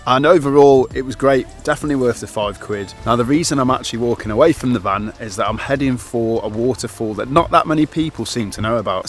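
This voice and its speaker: A man chatting casually to camera outdoors as a travel vlogger, upbeat and loose with fillers. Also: mid-range voice. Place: outdoors on a road trip.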